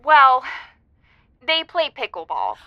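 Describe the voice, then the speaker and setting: A woman's voice: sits high, huge pitch swings, takes it slowly, gravelly, dry recording, heavily acted. A woman playing a chirpy, baffled wife calling an advice show, folksy and hesitant, through slightly muffled sound. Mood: awkward, wounded. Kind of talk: semi-improvised comedic audio drama.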